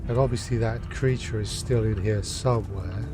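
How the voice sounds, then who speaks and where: A man from the UK live streaming a game, chatting casually and commenting in a low-key, conversational way. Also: fairly even intonation, normal speed, music in the background.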